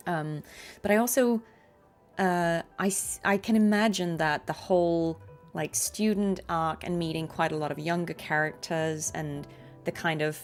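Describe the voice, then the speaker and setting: A woman's voice: on the low side, fairly even intonation, measured pace, faint music behind. A woman streamer giving casual game commentary in a chatty, reflective, encouraging way. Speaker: streamer and voice actor.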